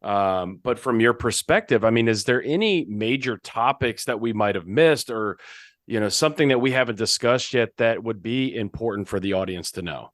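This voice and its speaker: Male host, lowish voice, easygoing interviewer. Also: expressive, moderate pace. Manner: friendly, conversational, asks open questions. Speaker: podcast host. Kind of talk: casual interview questions.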